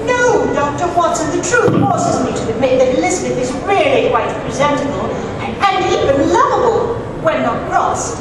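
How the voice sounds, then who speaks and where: A woman's voice: high-pitched, very animated, normal speed, few pauses, far from the mic, a crowd in the background. An actress playing a flustered, stammering aristocratic society lady in a live old-time radio drama before an audience. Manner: fluttery, stammering, breathless. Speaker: stage actor in live radio play. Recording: echoey hall mic from afar, audience.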